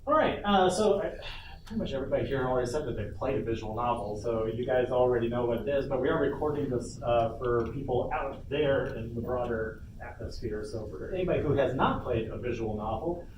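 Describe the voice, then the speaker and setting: A man's voice: medium pitch, brisk, lo-fi sound. Man presenting in an echoey, noisy room. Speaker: panel presenter, lead game developer. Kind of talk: informal live workshop presentation. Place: convention panel room, indoors. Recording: echoey room, distant mic, loud background noise.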